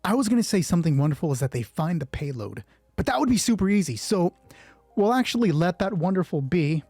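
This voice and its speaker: A man with a slightly high voice runs a solo tabletop roleplay as its game master. He shifts between clipped, scripted narration and loose, informal play commentary. The sound is dry and close, with music underneath. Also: big pitch swings, quick pace, a little mellow, husky, no room sound. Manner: informal, swearing, thinking aloud during play. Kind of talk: hushed scripted story narration alternating with casual game commentary.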